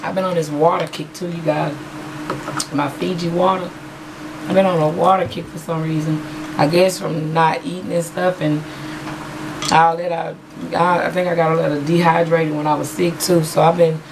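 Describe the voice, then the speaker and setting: A hoarse woman, chatting casually and rambling with many fillers as a beauty vlogger. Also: deep voice, level tone, moderate pace, background noise. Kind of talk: casual haul video chat. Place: small room at home, patterned backdrop. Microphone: webcam in front of her.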